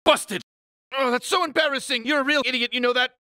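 A man voicing a grumpy, uptight, pompous alien spaceship leader in an animated cartoon game, exasperated and emphatic. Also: very high voice, brisk, no echo, clean studio sound, heavily acted.

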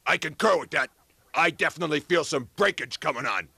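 Male actor as earnest dim sidekick, gravelly, piercing, oddly formal, radio comedy. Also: fairly high pitch, animated, brisk, bright, forceful tone, dry recording, heavily acted. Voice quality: raspy, gravelly. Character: naive, literal-minded hungry sidekick, ready to smash things. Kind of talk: radio comedy sketch acting.

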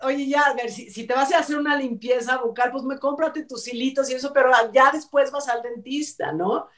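Higher-voiced Mexican woman on a roomy call. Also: brisk, fairly bright tone. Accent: Mexico City Mexican. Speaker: show host. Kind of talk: casual panel chat. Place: home room, indoors. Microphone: video call, off the mouth. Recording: roomy, distant home video-call sound.

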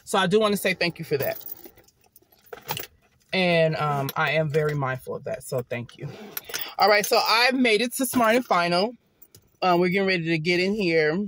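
A woman's voice: fairly low pitch, expressive, moderate pace, pauses often, a bit bright. A woman in her forties, a mom vlogger chatting casually and a little sleepily to viewers while shopping on a budget, filmed on a handheld phone. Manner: chatty, folksy, talks to viewers as "y'all" and "baby". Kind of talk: casual shopping vlog talk. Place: parked car, then a big-box store. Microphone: handheld phone camera.

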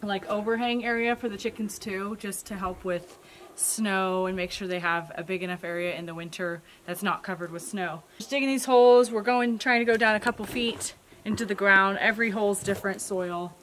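A woman's voice: medium pitch, steady tone, brisk, fairly bright tone, a little hiss or hum. A woman in her thirties casually explaining a homestead building project to the camera outdoors. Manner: chatty and explanatory. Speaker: homesteading vlogger. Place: outdoors at a snowy forest homestead.

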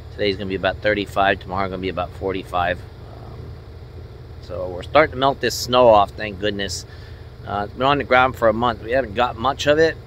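An American man, a truck driver, talking casually and unscripted to camera in a rambling, plainspoken way. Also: fairly bright tone. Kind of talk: casual vlog narration to camera. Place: outdoors by trucks and in a moving truck cab. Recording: noisy outdoor and truck cab, engine rumble. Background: vehicle and engine noise.

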